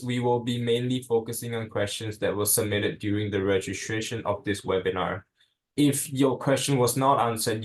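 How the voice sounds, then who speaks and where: A young man from Singapore, a student leader giving an upbeat, encouraging presentation from notes. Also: mid-range voice. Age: young adult. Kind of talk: scripted presentation on a video call.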